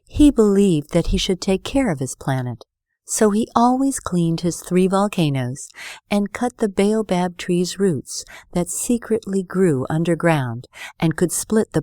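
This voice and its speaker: A woman narrating a scripted bedtime story for children with expressive, careful phrasing. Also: lowish voice, dry recording, clean studio sound.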